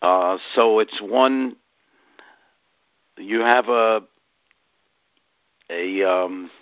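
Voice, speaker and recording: A man with a cold and a raspy voice, slowly explaining technical details as an expert panelist. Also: on the low side, dry recording. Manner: slow, explanatory. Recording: dry, muffled phone line.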